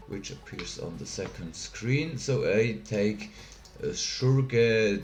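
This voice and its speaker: A man with a Swiss German accent giving a software tutorial, halting and hesitant, with many fillers. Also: lowish voice, roomy sound. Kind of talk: screen-recorded software tutorial.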